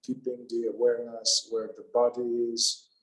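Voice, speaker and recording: Guy doing guided meditation, calm, normal pitch, lots of pauses, echoey room. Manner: calm, unhurried, with long pauses between short phrases.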